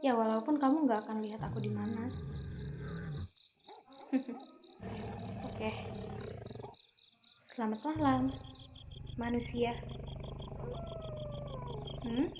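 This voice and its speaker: Girl doing an aloof young vampire lady, indonesian accent, kinda high voice, calm and a little teasing, crickets and hiss behind. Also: takes it slowly, long pauses. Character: aloof young female vampire, half fox, protective. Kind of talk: ASMR roleplay.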